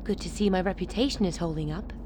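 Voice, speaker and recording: A woman's voice: on the low side, quick pace, dark tone, lightly acted. Blunt, sardonic chairwoman played by a woman, faint hiss. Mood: cool, wry. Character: hard, pragmatic chairwoman ruling an enclave, sardonic and commanding. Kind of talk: radio drama acting.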